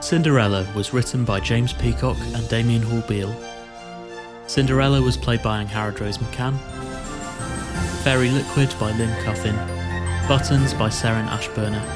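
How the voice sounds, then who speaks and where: Male credits announcer over loud music. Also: mid-range voice, steady tone, moderate pace.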